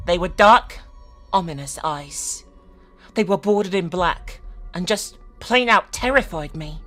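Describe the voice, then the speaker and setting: A woman's voice: fairly low pitch, a little slow, a bit bright. Woman acting a scared young boy, earnest suspenseful storytelling, horror story reading, music underneath. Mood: tense, fearful. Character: frightened young boy recounting a night attack. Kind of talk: dramatic creepypasta reading. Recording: music behind the voice.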